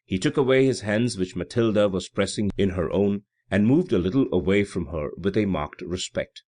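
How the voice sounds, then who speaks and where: A man's voice: fairly low pitch, moderate pace, a little mellow. A man reading literary fiction aloud as scripted audiobook narration. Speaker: audiobook reader.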